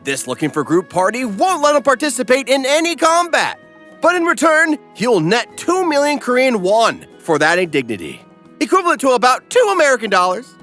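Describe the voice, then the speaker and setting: A male narrator delivers a comic scripted recap in a snarky, exuberant manner. His voice is high and bright and leaps around energetically, with faint music underneath. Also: huge pitch swings, brisk.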